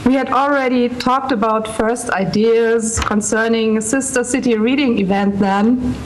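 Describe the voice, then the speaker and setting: A woman's voice: a little high, fairly even intonation, unhurried, low-quality recording. A woman with a German accent giving a prepared presentation over a video call with background noise. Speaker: library staff member presenting a project. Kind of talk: prepared speech at a launch event.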